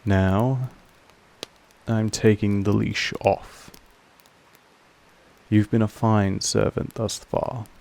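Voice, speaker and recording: A man playing a possessive vampire master in an intimate audio roleplay, speaking formally in a measured, softly menacing way with a gravelly creak. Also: lowish voice, steady tone, exaggerated voice. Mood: tender, earnest. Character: possessive male vampire master, calm, commanding, quietly affectionate.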